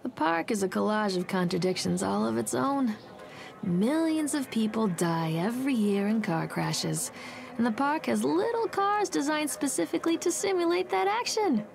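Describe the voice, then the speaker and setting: A woman's voice: a little high, lively intonation, normal speed, vocal fry. An actress playing an anguished, bitter woman in a horror video game, with emotive, theatrical delivery. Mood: bitter, brooding. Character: anguished, bitter woman searching a sinister amusement park. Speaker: game character and narrator. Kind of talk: dramatic video game voice acting.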